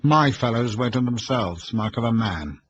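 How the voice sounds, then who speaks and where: A man's voice: medium pitch, expressive, normal speed, dull audio, voice pushed up, natural voice. A man voicing a pompous, boastful schoolmaster who speaks in hearty, clipped old-fashioned slang.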